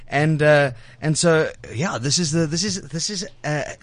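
A man's voice: a little high, unhurried, no echo. A man hosting a casual studio interview, chatty and teasing, often repeating words and restarting his sentences as he goes. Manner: chatty, teasing banter with guests. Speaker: radio show host. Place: radio studio. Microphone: studio microphone.

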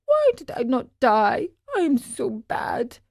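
Woman playing a little wooden puppet boy, high-pitched, swooping, impulsive, pleading, melodramatic storybook character. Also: sing-song, slow, a bit bright, full character voice. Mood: grieving, pleading.

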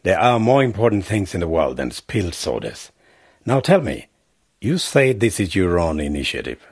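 An actor playing a vain, pompous member of parliament who insists on being called honorable, in a radio drama. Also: male voice, deep voice, sing-song, normal speed, husky, no room sound, clean studio sound, full character voice. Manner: pompous, insists on his title. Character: vain smooth-talking male politician, middle-aged.

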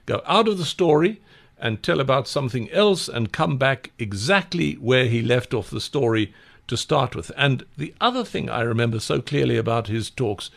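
Gravelly, lively-voiced male radio host. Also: medium pitch. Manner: conversational, reflective. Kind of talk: radio interview hosting.

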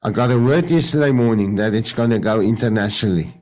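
A man's voice: on the low side, darkish tone. A man with an Afrikaans accent whose first language is Afrikaans, giving a faith testimony on a video call and often stopping to search for English words. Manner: halting, searching for English words, many restarts. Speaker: radio presenter sharing testimony.

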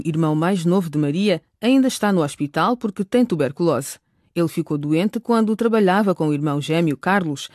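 A woman giving scripted narration for a radio drama, recapping the story in a clear storytelling manner. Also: fairly low pitch, a little mellow, no echo, studio quality.

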